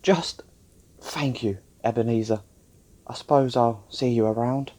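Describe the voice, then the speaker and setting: A woman playing a guilt-ridden, humble, apologetic father in a radio play, with a husky voice and hesitant manner. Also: rumbling low, a little slow, warm tone.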